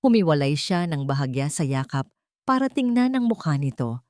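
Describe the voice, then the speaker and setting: A woman delivering dramatic scripted narration of a romance story with deliberate, emotive phrasing. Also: deep voice, sing-song, a little mellow, dry recording, studio quality.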